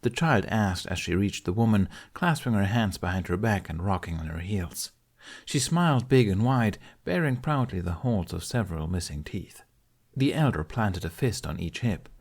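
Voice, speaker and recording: Male audiobook narrator, lowish pitch, scripted storytelling read. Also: animated, moderate pace.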